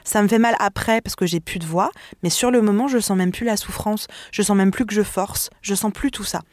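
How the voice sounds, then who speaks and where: A woman's voice: medium pitch, a little fast, a little mellow, no echo, studio quality. A woman, a singer and vocal mentor, talking expressively as a radio interview guest, often laughing and restarting her sentences.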